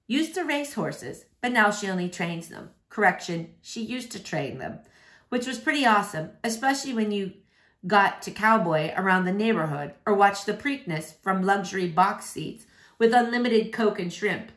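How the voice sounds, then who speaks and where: Female read-aloud reader, mid-range pitch, expressive delivery of story and poetry. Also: moderate pace.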